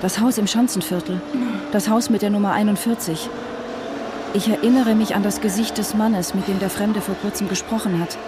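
A woman's voice: mid-range voice, fairly even intonation, fairly quick, background noise, natural voice. A woman playing a distraught, suspicious wife and mother in a German radio drama, narrating and speaking. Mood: tense, inward.

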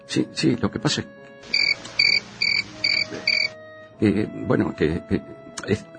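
An actor playing a bumbling, boastful would-be film man in a radio comedy, with an Argentine accent, stammering and repeating himself in a raspy voice. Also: lowish voice, expressive, normal speed, over loud music. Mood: flustered, apologetic. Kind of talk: comedic radio play acting.

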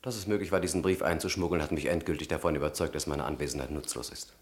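An actor playing a grave, conscience-torn young student conspirator in an earnest, measured radio play style. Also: male voice, extremely low pitch, breathy edge. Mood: formal, deferential. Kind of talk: German radio play acting.

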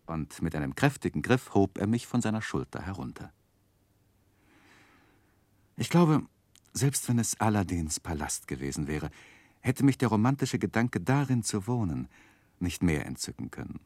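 A man narrating a 1960s radio play as a literary storyteller, measured and expressive. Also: sits low, dry recording.

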